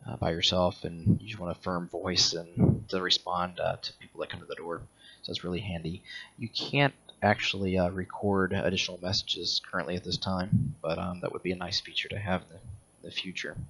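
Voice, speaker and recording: A middle-aged man giving a casual product walkthrough as a reviewer, explaining step by step in a halting, hesitant way. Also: low-pitched, fairly even intonation, a bit bright, husky.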